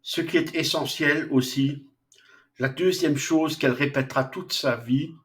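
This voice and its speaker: Slow-talking hesitant male lecturer. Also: a little high, steady tone, fairly bright tone. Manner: slow, hesitant, frequent fillers and restarts. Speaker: philosophy lecturer. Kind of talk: unscripted lecture.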